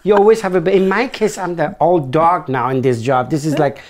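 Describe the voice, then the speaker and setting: A man's voice: on the high side, a little fast, a little mellow. A middle-aged man from Germany, with a German accent, speaking earnest, broken English as a hairstylist guest in a small studio. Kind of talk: casual chat. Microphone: standing, off the table mic.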